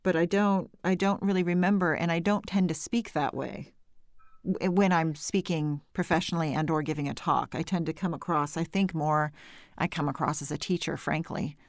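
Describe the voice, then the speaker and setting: A woman from California hosting an interview, chatty and often laughing, with some vocal fry. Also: low voice, fairly even intonation, moderate pace, dry recording, clean studio sound. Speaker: podcast host. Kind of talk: conversational interview.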